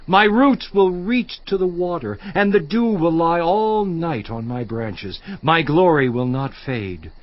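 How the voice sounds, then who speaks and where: A grieving, once-honored patriarch of an audio Bible drama, anguished and declamatory. His fairly high male voice moves slowly and swings widely in pitch, with a roomy echo around it. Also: lively intonation, dull audio. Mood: proud, wistful remembrance. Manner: theatrical, declamatory, with long dramatic pauses. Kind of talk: dramatized scripture monologue.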